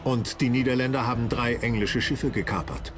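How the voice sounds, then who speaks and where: A man's voice: mid-range voice, fairly even intonation, moderate pace. A man narrating a historical documentary from a script in a dramatic storytelling style. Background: music.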